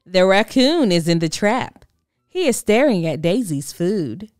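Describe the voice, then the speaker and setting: A woman voicing an excited young girl detective in a storybook read-aloud, with a high, squealing, eager voice. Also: unhurried, no room sound, clean studio sound, faint music behind.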